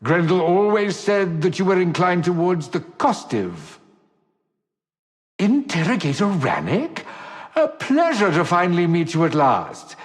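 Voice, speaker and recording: Flamboyant, grandiloquent rogue, swooping theatrically. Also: male voice, a little high. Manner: florid, mock-gallant, theatrical delivery. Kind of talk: theatrical video game character acting. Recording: long echoing hall reverb.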